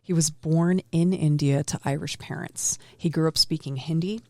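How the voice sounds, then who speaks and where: Woman storyteller, gravelly tone, casual and self-deprecating, dry close mic. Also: sits low, steady tone, normal speed, mellow, no room sound. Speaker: guest storyteller.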